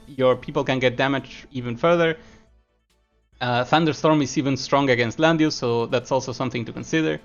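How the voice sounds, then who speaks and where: A man from Argentina commentates a live esports match at a middle pitch. He talks in a loose, chatty way and turns from analysis to chat messages. The stream audio is a little muffled, and soft music plays underneath. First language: Spanish. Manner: chatty, laughs often, answers chat between analysis. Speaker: esports caster.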